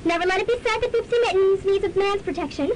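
A woman's voice: extremely high pitch, fast. A woman playing a haughty, snippy young college woman in a campy student radio drama. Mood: snippy, aloof. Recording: noisy amateur student radio recording.

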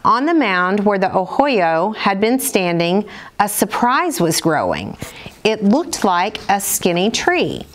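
A middle-aged woman with an American accent reads aloud as a storyteller for children, in a measured, instructional manner with careful enunciation. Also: medium pitch, big pitch swings. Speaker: storyteller, school program director. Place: indoors, seated at table before dark curtain.

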